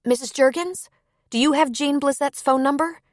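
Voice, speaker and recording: A woman's voice: high voice, normal speed, dry recording, voice pushed up, lightly acted. Audiobook role: tender-hearted, anxious young woman, voiced by a female narrator, urgent delivery, dry close sound. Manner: worried, earnest, urgent. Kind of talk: audiobook character dialogue.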